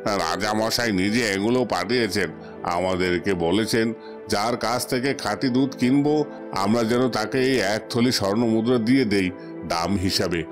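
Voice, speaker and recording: A man's voice: fairly low pitch, moderate pace, over music, close to an everyday voice. A man voicing a polite, businesslike royal palace soldier in a fairy-tale cartoon. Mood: grateful. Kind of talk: cartoon voice acting.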